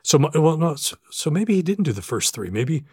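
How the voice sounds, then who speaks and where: An American man in his fifties telling stories in a casual interview, animated and self-deprecating, with stammered starts. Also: on the high side, brisk, breathy edge, no room sound, clean studio sound. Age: 50s. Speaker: podcast guest, sports arena announcer. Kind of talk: casual anecdotal interview chat. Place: in a room with the hosts.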